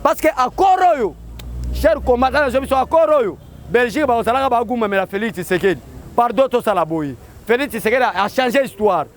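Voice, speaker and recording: A man with a Congolese accent giving a rousing street speech to supporters, shouting out calls for the crowd to answer. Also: extremely high pitch, animated, a little fast, slight background noise. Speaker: political activist addressing supporters. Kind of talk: rally speech with call-and-response.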